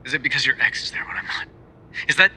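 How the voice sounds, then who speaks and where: A man's voice: mid-range voice, expressive, fast talker, pushed and bright, a little hiss or hum. A man playing a lovesick, jealous mafia boyfriend in an intimate ASMR roleplay monologue, conversational and sardonic, dropping into whispers. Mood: hurt, pleading.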